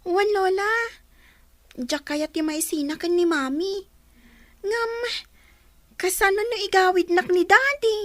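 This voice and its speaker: A woman's voice: extremely high pitch, a little slow, pauses often, fairly bright tone, no room sound. A woman with an Ilocano accent playing an anxious young child in a radio drama. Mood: anxious, pleading. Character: anxious young grandchild clinging to absent mother.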